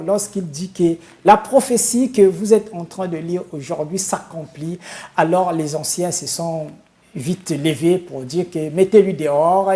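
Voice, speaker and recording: A man from Congo-Brazzaville, a spiritual leader answering a studio interview in a solemn, preaching style, pausing often on hesitant fillers. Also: high voice, dark tone.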